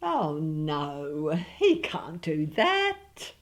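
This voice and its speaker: A woman acting an excitable middle-aged bride-to-be daughter in a comic radio soap, gushing and theatrical. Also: sits low, drawn-out words, full character voice. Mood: irritated.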